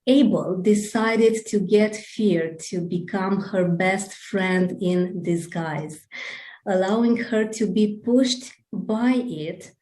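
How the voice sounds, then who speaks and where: A woman hosting a podcast over a video call, reading a guest introduction and asking questions in a deliberate, earnest way. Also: medium pitch, a little mellow, roomy sound, poor audio. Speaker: podcast host. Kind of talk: scripted introduction and interview questions.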